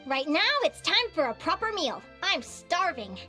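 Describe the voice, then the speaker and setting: A woman's voice: high voice, sing-song, moderate pace, bright, forceful tone, faint music behind, full character voice. A woman voicing an eager, dreamy young female adventurer in a video game, bubbly and wide-eyed as she talks to herself. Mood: brisk, chipper. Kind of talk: video game voice acting, monologue.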